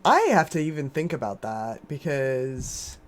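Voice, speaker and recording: Hoarse scratchy woman streamer, sore throat, rambly and chatty with lots of restarts. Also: a little slow. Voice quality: lower and scratchier than usual. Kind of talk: casual chat while gaming.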